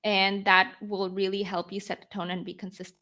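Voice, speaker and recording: A young woman of about twenty, from the Philippines, speaks as a guest in an interview. Her voice sits at a medium pitch. She explains things informally and conversationally, often voicing questions to herself. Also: flat delivery, a little fast, sharp tone. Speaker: podcast guest, newsletter founder.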